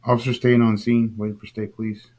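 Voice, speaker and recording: A man's voice: lowish voice, level tone, fast talker, darkish tone. A man giving casual, plain-spoken explanatory commentary as the host, in an informal way. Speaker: host commenting on police scanner audio.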